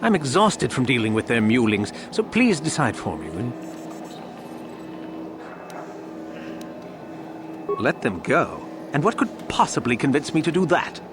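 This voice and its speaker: An actor playing a cruel, bored, arrogant young Sith in a video game, with a theatrical, haughty way of musing aloud. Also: male voice, mid-range voice, very animated, a little fast, noisy background, lightly acted. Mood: impatient contempt.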